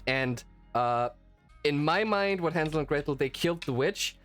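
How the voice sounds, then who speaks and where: A man's voice: on the high side, animated, normal speed, fairly bright tone. A man who is a native German speaker chats casually as game master and host after a game, talking in a rambling, enthusiastic way. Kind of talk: casual post-game chat. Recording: faint music behind the voice. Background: faint background music.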